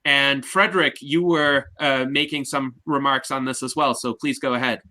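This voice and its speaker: Bright-voiced male panel host. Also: medium pitch, steady tone. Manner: measured, formal, orderly. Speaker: host and moderator. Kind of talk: online panel discussion.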